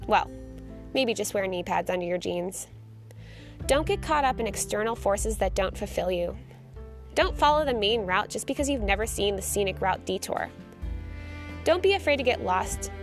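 A woman in her thirties hosting a podcast, hyponasal and congested from a cold, chatty and self-mocking with lots of fillers. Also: medium pitch. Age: 30s. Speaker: podcast host. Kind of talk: casual chat.

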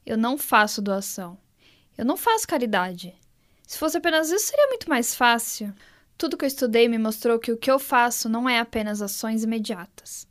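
A woman delivering a forceful scripted dramatic monologue as a podcast narrator. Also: fairly high pitch. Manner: declamatory and forceful.

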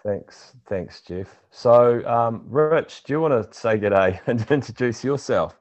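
A man's voice: medium pitch, animated, slow pace, darkish tone, no echo. A man hosting a podcast interview in a casual, rambling way, often repeating words as he searches for the phrasing. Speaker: podcast host. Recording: dull lo-fi sound.

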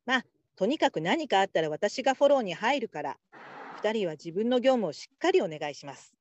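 A woman playing a patient, supportive call-centre supervisor in a radio drama, calm and politely instructive. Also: medium pitch, a little fast, highs cut off, slight background noise, natural voice. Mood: composed, firm. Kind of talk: Japanese radio drama acting.

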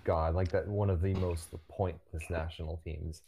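A man's voice: deep voice, level tone, moderate pace, dark tone, a little hiss or hum. A man co-hosting a casual sports podcast over a call, wry and teasing.

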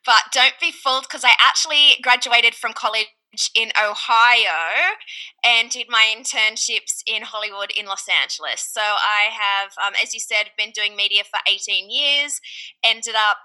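A woman's voice: on the high side, a little slow, bright, forceful tone, poor audio. A middle-aged Australian woman with an Australian accent, a podcast agency founder telling her career story in a chatty, upbeat way. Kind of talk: conversational interview answers.

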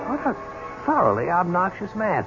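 Very animated young suitor, old radio. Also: male voice, high-pitched, moderate pace, exaggerated voice. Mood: subdued. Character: smooth young male suitor, defensive and indignant when challenged. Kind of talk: 1970s radio drama acting. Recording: dull 1970s radio recording with music.

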